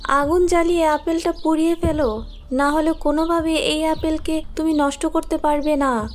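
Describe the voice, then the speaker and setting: A woman voicing a gentle, earnest fairy held captive in an animated fairy tale, with drawn-out, cautioning speech. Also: high-pitched, a little hiss or hum, voice pushed up, close to an everyday voice. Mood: urgent. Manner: slow, drawn-out, earnest warnings. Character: gentle imprisoned blue fairy, earnest helper.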